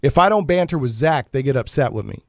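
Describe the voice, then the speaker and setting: A man's voice: medium pitch, animated, fast, no room sound, clean studio sound. A man hosting a talk show, chatting casually in a rambling, joking, mock-serious way. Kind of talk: casual chatty radio banter.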